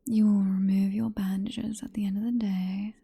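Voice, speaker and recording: A woman's voice: medium pitch, level tone. Whispering British vampire seductress, played by a woman. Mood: commanding, hypnotic. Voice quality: soft, breathy whisper. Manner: slow, coaxing, condescendingly affectionate. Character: possessive, seductive female vampire professor, hypnotic and teasing. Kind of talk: whispered ASMR roleplay.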